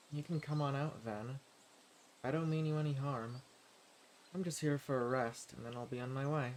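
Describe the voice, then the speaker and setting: A man playing a gentle, level-headed wandering adventurer in an audio roleplay, speaking haltingly and directly to the listener. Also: a little high, a little hiss or hum. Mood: calm, friendly.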